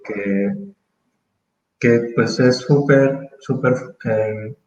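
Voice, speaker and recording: A man in his thirties from Mexico, speaking as an interview guest in casual conversation, thoughtful and full of pauses and restarts. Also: medium pitch, darkish tone, reverberant, lo-fi sound, a little hiss or hum. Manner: thoughtful, hesitant, many fillers and restarts.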